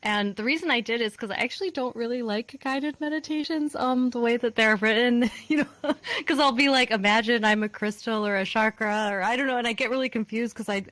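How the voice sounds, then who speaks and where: A woman's voice: a little high, fairly quick, a bit bright, dry recording. A woman chatting casually as a talk show guest, in a lightly self-deprecating way. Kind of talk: casual chat.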